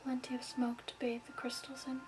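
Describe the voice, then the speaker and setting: Woman, soft whispery ASMR, high-pitched, even and hushed, gently instructive, music behind. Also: a little fast. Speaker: ASMR performer playing a crystal shop keeper.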